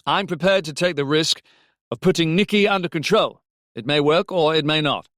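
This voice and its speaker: A man's voice: on the high side, normal speed, fairly bright tone, no room sound, studio quality, pitched above the performer's own voice, lightly acted. A man voicing a determined male scientist in an audiobook story, insistent and commanding. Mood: resolute.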